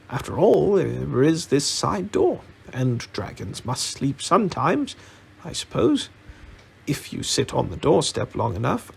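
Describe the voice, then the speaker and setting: A man's voice: fairly high pitch, gravelly, breathy edge, voice pushed up, exaggerated voice. A man voicing a timid, polite little hobbit for a storybook, hesitant and rambling.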